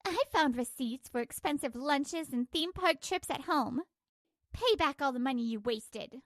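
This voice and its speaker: A woman's voice: high-pitched, animated, a little slow, no echo, studio quality. A woman voicing a fed-up working wife, indignant, cutting and resolute, in a manga story dub. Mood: accusing.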